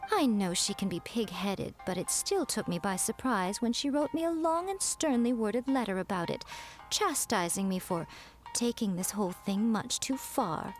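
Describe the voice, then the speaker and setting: A woman's voice: mid-range voice, lively intonation, soft background music, lightly acted, poor audio. A woman voicing a playful, sly fox spirit in a video game, elegant, teasing and pleased with herself. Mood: breezy. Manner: teasing, self-satisfied, drawn-out flourishes.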